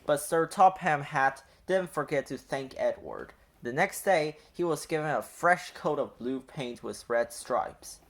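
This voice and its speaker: A man reading a children's storybook aloud in an expressive storytelling style. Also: a little high, a little slow, hardly any pauses. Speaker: children's book reader. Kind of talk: animated storybook read-aloud.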